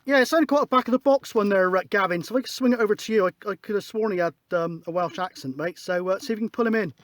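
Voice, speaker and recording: A man's voice: high-pitched, rapid-fire, no echo. A man chatting casually and putting out calls as a CB radio operator, in an informal, matey way. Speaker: CB radio operator leading an on-air event. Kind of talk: casual radio calling and chat. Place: outdoors on a hillside in Wiltshire, at a CB radio set.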